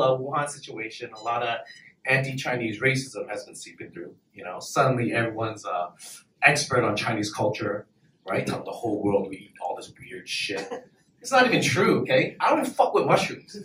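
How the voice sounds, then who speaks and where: Young man, stand-up comedian, brash and profane, lively swooping delivery, roomy club heard from far back. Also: mid-range voice, fairly quick, a little hiss or hum. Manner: brash, profane, laughs between punchlines. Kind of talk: stand-up set at an open mic. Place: small comedy club stage, in front of an audience. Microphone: handheld mic, filmed from far back in the room. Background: audience laughter.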